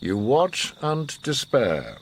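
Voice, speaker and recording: An actor playing a grave, commanding, enigmatic older war survivor in a radio drama, speaking in a measured, solemn, philosophical way. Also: male voice, medium pitch, wildly swooping pitch, a little hiss or hum, heavily acted. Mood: stern. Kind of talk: radio drama monologue and dialogue.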